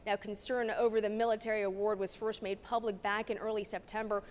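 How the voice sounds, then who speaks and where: A woman's voice: medium pitch, level tone, brisk. A woman delivering a scripted television news report.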